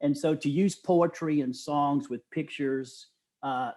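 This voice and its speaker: A middle-aged man with a slightly high voice talks as an interview guest. He is animated and enthusiastic, sharing ideas and anecdotes in a casual, conversational way. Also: takes it slowly.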